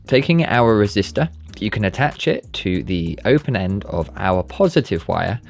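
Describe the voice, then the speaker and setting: Casting: male tutorial narrator, lowish voice, scripted step-by-step delivery, light background music. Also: expressive, normal speed, creaky. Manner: methodical, instructional. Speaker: maker channel presenter.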